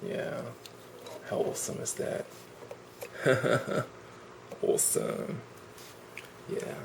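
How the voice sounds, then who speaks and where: A man's voice: very slow, noisy background. A man with a cold reviewing a toy in a casual, gushing, enthusiastic way, recorded indoors on a camera mic. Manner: enthusiastic, gushing, repeats "awesome" and "really". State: has a cold.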